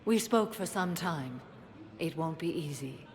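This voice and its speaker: A woman's voice: low voice, expressive, measured pace. A woman playing a solemn, composed alien warrior in a video game, speaking formally and with restraint. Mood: solemn, grateful. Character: female alien Justicar warrior, solemn, composed, devoted mother. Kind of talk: video game cutscene dialogue.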